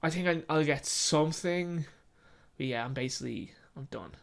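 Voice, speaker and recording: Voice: mid-range voice, moderate pace, gravelly, natural voice. A storyteller voicing a dejected young Irish man who rambles wearily and hesitantly in a short story. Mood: defensive, defeated.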